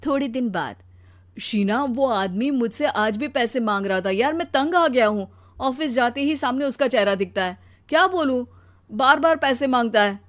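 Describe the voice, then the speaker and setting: A put-upon young Indian husband in a cartoon, acted by a woman. The voice is high for the part and closely recorded with a dry sound. He talks in a tired, aggrieved, pleading way. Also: lively intonation, normal speed, no room sound, natural voice. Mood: fed up. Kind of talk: Hindi cartoon story dialogue.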